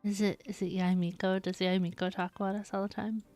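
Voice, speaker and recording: A woman's voice: mid-range voice, little pitch movement, brisk. A woman game streamer giving casual, chatty live commentary in a giggly manner. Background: game music.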